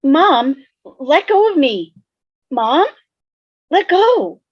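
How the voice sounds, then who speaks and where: A woman's voice: sits high, very animated, extremely slow, no echo, full character voice. A woman playing a shaken, defensive teenage girl in an amateur table read over a video call. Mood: frightened, pleading. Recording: dull video call audio.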